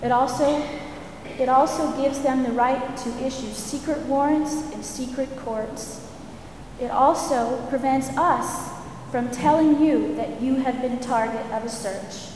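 Soft-voiced woman speaking up in a hall. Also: sits high, measured pace. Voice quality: naturally soft voice pushed louder. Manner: earnest, firm. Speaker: librarian speaking at a public hearing. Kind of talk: public comment speech. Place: large public meeting hall. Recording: echoey hall with room noise.

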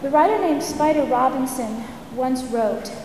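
A librarian, a woman with a naturally soft voice pushing herself to speak louder, gives an earnest, firm public comment at a hearing. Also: high voice, unhurried. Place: large public meeting hall. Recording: echoey hall with room noise.

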